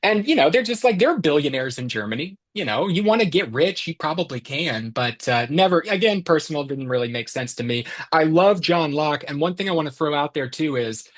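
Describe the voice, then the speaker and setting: A man's voice: on the high side, expressive, brisk, hardly any pauses. A man hosting a podcast, speaking in a chatty, rambling, self-deprecating way. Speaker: podcast host. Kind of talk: casual conversational discussion.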